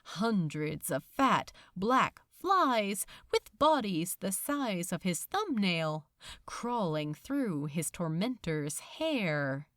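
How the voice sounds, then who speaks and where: A woman reading fiction aloud as a dramatic, expressive narrator, deliberate and suspenseful. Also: lowish voice, no room sound, studio quality.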